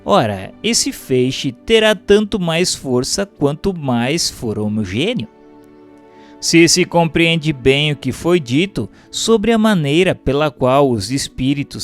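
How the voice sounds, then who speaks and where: A man with a Brazilian accent giving a formal, measured, didactic scripted reading of a religious text as a narrator. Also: on the high side. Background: background music.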